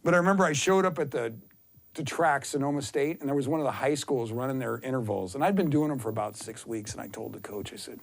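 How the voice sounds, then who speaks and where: A man in his fifties telling casual stories as an interview guest, excitable and self-deprecating, often rambling and acting out what was said. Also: mid-range voice, quick pace, creaky. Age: 50s. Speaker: endurance athlete, interview guest. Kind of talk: casual, anecdotal interview storytelling.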